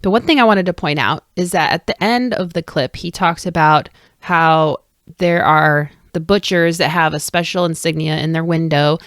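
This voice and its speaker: A woman's voice: low-pitched, fairly bright tone, no echo, clean studio sound. A woman hosting a podcast, narrating casually and enthusiastically. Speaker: podcast host.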